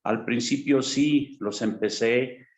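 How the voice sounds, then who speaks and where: A man giving an earnest, measured testimony on an online church call. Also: medium pitch, echoey room. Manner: earnest, measured, some fillers.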